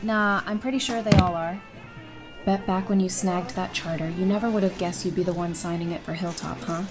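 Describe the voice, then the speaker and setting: A woman playing a warm, blunt and teasing young mother in a conversational audio drama. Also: on the low side, fairly even intonation, brisk, music in the background, lightly acted. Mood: fond teasing.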